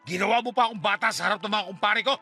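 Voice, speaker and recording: A man's voice: high voice, very fast, pushed and bright, faint music behind. An actor playing a cocky, swaggering middle-aged stepfather in a radio drama, boastful and argumentative. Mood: irritated, angry. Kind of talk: Tagalog radio drama acting.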